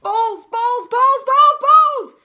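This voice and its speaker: A woman voicing a pompous, hysterical male schoolteacher in a children's storybook, shouting and repeating himself frantically. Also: very high voice, very slow, highs cut off, pitched above the performer's own voice, exaggerated voice. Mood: frantic bellowing. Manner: shouting, stammering, frantic repetition. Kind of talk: children's storybook read aloud.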